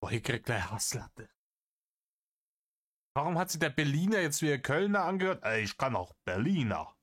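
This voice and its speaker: A man's voice: a little high, big pitch swings, moderate pace, a bit bright. Sarcastic man commenting on clips. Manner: exasperated, sarcastic, swears freely. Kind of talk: satirical reaction commentary.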